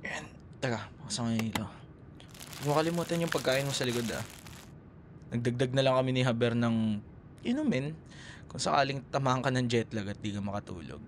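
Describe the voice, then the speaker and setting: A man's voice: mid-range voice, lively intonation, brisk, long pauses, noisy background, natural voice. A man with a Filipino accent acting a teasing young ex-boyfriend in a casual, intimate roleplay. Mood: caring, casual.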